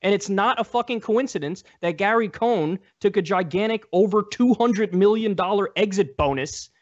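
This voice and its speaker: A man's voice: high voice, normal speed, highs cut off. A man in a heated political debate, combative and emphatic, hammering his points through repetition. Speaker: debate guest.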